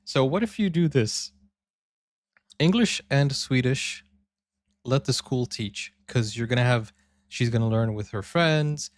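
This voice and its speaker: A man's voice: medium pitch, no echo. A man hosting a reaction video talks in easy, casual commentary. His voice sits in a middle register, and he rambles a bit with fillers as he works through his thoughts. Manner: chatty, thinks aloud with fillers.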